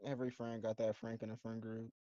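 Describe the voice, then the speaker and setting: A man's voice: lowish voice, monotone, quick pace, mellow, no echo, lo-fi sound. A man co-hosting a show reaction, chatting casually in slangy, joking talk and laughing often. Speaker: co-host of a reaction channel.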